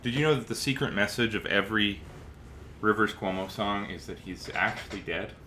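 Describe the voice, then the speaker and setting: Male podcast co-host, low register, casual talk, audible noise and room echo. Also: fairly quick, bright, forceful tone. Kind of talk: casual chat while setting up a stream. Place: echoey room, podcast recording on stream. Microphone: podcast mic on adjustable arm. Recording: roomy, clearly noisy room recording.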